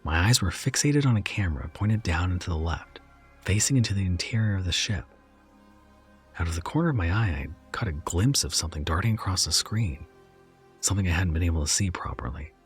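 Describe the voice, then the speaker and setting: A man narrating a first-person horror story in a measured, somber way. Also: deep voice, lively intonation, faint music behind.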